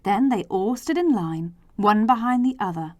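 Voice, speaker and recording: A woman reading a children's storybook aloud in a theatrical, deliberate way, acting out the characters. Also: mid-range voice, animated, a little mellow, dry recording. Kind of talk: expressive children's storybook narration.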